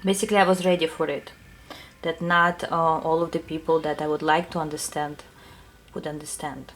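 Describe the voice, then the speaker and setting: A woman with a Russian accent speaks softly and unhurriedly, pausing on little fillers as she chats with her viewers. Her voice sits fairly low for a woman. A faint hiss stays under her talk. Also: flat delivery. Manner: soft, unhurried, thoughtful, with many fillers. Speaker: tarot reader answering viewer questions. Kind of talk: casual talk to camera.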